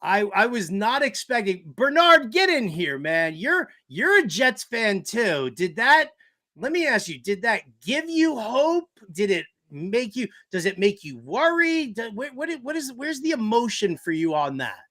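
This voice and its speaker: Bright, forceful male sports talk host. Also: high voice, sing-song, unhurried. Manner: exasperated, theatrical fan talk with repeated emphatic phrases. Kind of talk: animated sports talk and countdown commentary.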